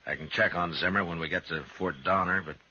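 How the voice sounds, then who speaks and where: An actor playing a steady, authoritative American frontier marshal in 1950s radio western style, measured and terse. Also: male voice, sits low, husky, full character voice. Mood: firm, cautious. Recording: dull, noisy 1950s radio recording.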